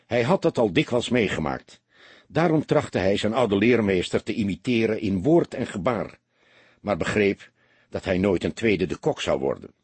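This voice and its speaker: A man reading a story as an audiobook narrator, in expressive scripted narration. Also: lowish voice, normal speed, slightly rough, dry recording.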